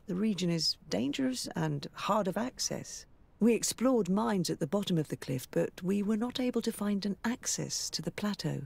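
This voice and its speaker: Game role: curious female scholar, husky with a creaky edge, explaining carefully. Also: on the low side, a little mellow, dry recording, full character voice. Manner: thoughtful, articulate explaining. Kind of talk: video game character dialogue.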